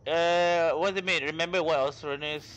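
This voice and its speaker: A man who speaks English as a second language, giving casual, halting, slangy commentary as he livestreams a game. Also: fairly high pitch, fairly even intonation, fairly bright tone. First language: not English. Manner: rambling, informal, slangy, halting. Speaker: livestreamer, VTuber. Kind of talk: casual live gaming commentary. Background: game music.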